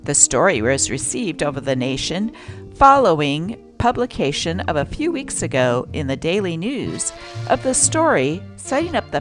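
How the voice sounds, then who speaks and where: A woman host reading vintage newspaper stories aloud as scripted narration, with deliberate, expressive phrasing. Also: lowish voice. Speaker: channel host and newspaper reader.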